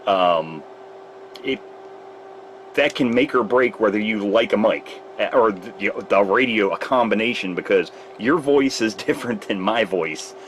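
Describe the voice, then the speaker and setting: A man with a fairly low voice rambles through a demonstration of his test setup at a repair bench, his pitch rising and falling a lot. He talks casually and explains each piece of gear step by step. A faint hiss of room noise sits under his voice. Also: expressive, unhurried, poor audio. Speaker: radio repair technician.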